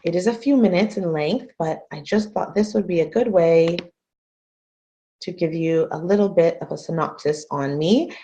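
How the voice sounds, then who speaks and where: A woman in her thirties from Canada giving an earnest, measured webinar talk as a guest speaker over a video call. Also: fairly low pitch, mellow. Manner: earnest, measured, repeats phrases for emphasis. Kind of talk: webinar presentation. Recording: muffled video call audio.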